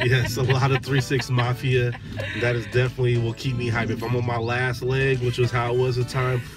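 A man in his thirties talking casually in a parked car, relaxed and chatty with lots of "um"s, recorded on a dashboard camera. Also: mid-range voice, a little fast, music in the background. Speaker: vlogger, father. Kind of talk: casual vlog chat.

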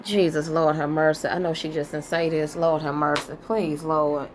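A woman's voice: sits low, little pitch movement, normal speed, noisy background. A woman giving casual reaction commentary on gossip, sassy and exclamatory, talking straight to her listeners.

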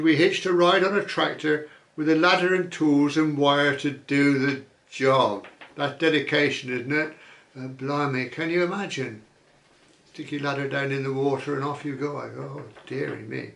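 A man hosting a vlog, reading viewer comments aloud and musing on them in an easygoing way, often pausing with "uh". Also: on the high side, vocal fry, a bit bright, roomy sound. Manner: chatty, musing aloud, many "uh" fillers. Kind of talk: casual chat.

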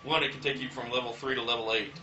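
A man voicing a stern female bodyguard from a video game in a deadpan, crude parody dub. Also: medium pitch, fast, a little hiss or hum, lightly acted. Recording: roomy, dull lo-fi with game music.

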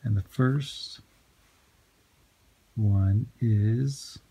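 A man's voice: on the low side, very slow, warm tone, slightly rough. A man in his forties, a father narrating a casual family vlog at home into a handheld phone, upbeat and encouraging. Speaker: vlogger and father.

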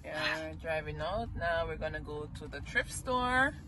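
A woman's voice: deep voice, lively intonation, moderate pace, noisy background. A Jamaican woman in casual, chatty conversation while vlogging a shopping trip. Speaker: family vlogger. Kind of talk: casual shopping vlog chat.

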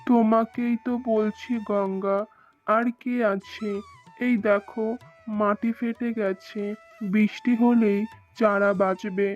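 A woman's voice: mid-range voice, steady tone, takes it slowly, natural voice. A woman voicing a poor, devout village farmer and father in a cartoon, earnest and pious. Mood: worried. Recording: dull lo-fi sound with faint music.

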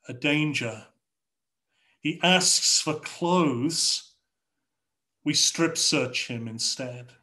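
A middle-aged man with an English accent preaching a sermon from a home study over a video call, in a measured, rhetorical way with repeated phrases. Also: on the high side, bright, forceful tone. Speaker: pastor. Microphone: video call webcam.

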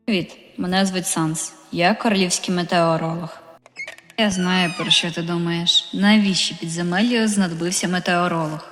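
Woman voicing a low, calm skeleton big brother, reverberant. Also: normal speed, faint music behind, lightly acted. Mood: calm narrating. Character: calm, caring young skeleton older brother, royal meteorologist. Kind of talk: comic dub with narration.

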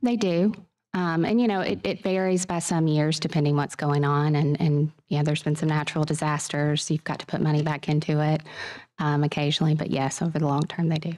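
A woman's voice: deep voice, level tone, fairly quick, darkish tone. A woman presenting at a legislative committee podium, answering questions in a plainspoken way with a few fillers. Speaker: presenter from a pension system. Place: large legislative committee room. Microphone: podium microphone.

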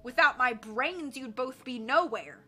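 A man's voice: high-pitched, moderate pace, bright, forceful tone. A woman voicing an angry, domineering male gang boss who barks and sneers sarcastically in an audiobook. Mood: raging. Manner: barking, sarcastic.

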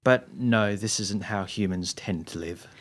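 A man playing an unflappable, long-suffering sidekick in an audio drama, with a dry, wry, measured manner. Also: on the low side.

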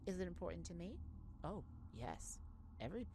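Casting: woman as earnest female space diplomat for ASMR audio drama, slightly low voice, awkward halting delivery, noisy background. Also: sing-song. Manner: halting, awkward, formal wording. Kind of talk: scripted ASMR sci-fi roleplay. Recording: noticeable background noise.

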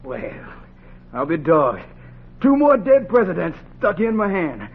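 An actor playing a nervous small-time robber, a pleading, folksy sidekick in a 1940s radio drama. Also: male voice, sits high, sing-song, measured pace, heavily acted. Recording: muffled, hissy 1940s radio recording.

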